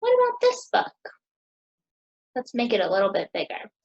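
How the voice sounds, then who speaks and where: An American woman in her thirties teaching young children, asking questions in a sing-song, encouraging way with deliberate pauses. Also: fairly high pitch, wildly swooping pitch, creaky, muffled recording. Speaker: teacher hosting a children's story time.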